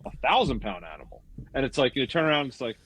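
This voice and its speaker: A man's voice: mid-range voice, very animated, quick pace, fairly bright tone, poor audio. A man in his mid-thirties, a guest in a casual chat, agreeable and chatty, often saying yep. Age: 30s.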